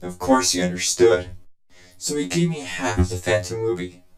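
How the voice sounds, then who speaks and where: A man's voice: deep voice, sing-song, normal speed. A man playing a cold, arrogant villain in a game cutscene, speaking with condescending menace. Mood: boastful explaining. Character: cold, arrogant male villain, scornful and self-assured. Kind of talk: fan game cutscene voice acting. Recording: echoey voice over game music.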